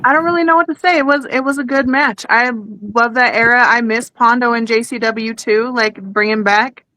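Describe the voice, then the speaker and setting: A woman's voice: a little high, fairly even intonation, moderate pace, sharp tone, slight background noise, poor audio. A woman chatting casually as a guest on a podcast. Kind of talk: casual chat.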